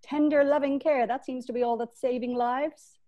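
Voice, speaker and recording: A learned woman doctor from a novel , as a woman reading aloud plays her. Her voice sits fairly high. She is brisk and wry, explaining things with emphasis. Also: steady tone, mellow, close to an everyday voice. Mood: emphatic.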